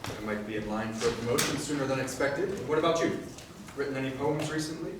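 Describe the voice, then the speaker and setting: A man's voice: mid-range voice, fairly even intonation, quick pace, distant mic, lightly acted. A man playing a straitlaced, sensible young town office worker in a stage musical, speaking earnestly. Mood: dry, busy. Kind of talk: musical theatre stage acting. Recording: echoey hall recorded from afar, noisy.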